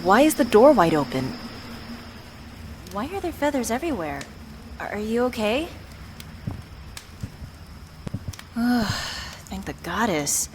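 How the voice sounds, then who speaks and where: A woman's voice: fairly high pitch, very animated, unhurried, long pauses. A woman playing a worried, affectionate witch who tenderly scolds a beloved partner in an ASMR roleplay audio drama. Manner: playfully exasperated, tender scolding with sighs. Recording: clear background ambient noise.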